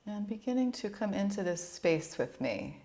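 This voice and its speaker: A woman leading a guided yoga relaxation as an instructor, speaking in a soothing, gentle and unhurried way. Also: medium pitch, sing-song.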